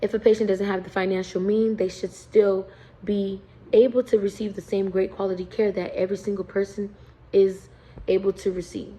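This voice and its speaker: A young woman, a student from the United States, reading a prepared class presentation in an earnest, steady tone. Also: medium pitch, normal speed, rough recording.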